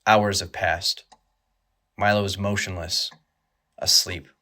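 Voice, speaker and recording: Low, crisp-edged bright male narrator. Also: little pitch movement, slow pace. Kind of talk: scripted dramatic narration of a horror audio drama.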